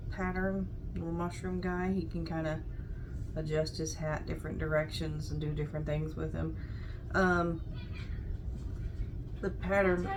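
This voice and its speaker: A woman's voice: deep voice, noisy background. A woman in her thirties chatting casually to camera in a small room, recovering from a cold so her voice is a little off, rambling with frequent ums. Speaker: crafter showing market stock.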